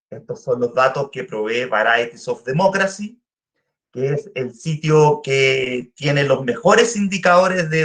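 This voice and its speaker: A man from Chile with a Chilean Spanish accent, giving a guest lecture over a video call, speaking slowly and deliberately with frequent "eh" fillers. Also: fairly high pitch, pushed and bright. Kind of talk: online academic lecture.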